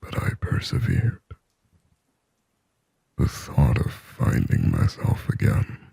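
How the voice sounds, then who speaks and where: A man whispering as a very deep, gravelly demon. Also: no echo, heavily acted. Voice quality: breathy, husky whisper with low gravelly rasp. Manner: slow, halting, hushed close-to-ear delivery. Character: lonely, possessive sleep paralysis demon, yearning and intimate. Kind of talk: scripted ASMR roleplay monologue. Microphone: close microphone, dry.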